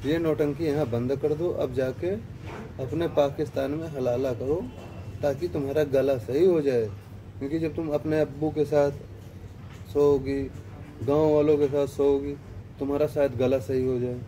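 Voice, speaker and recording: A man giving a scornful, heated commentary, now muttering and now bursting out loudly. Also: on the high side, steady tone, moderate pace, slight background noise, low-quality recording. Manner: scornful and heated, rising to loud outbursts. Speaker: online commentator. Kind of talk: ranting video commentary.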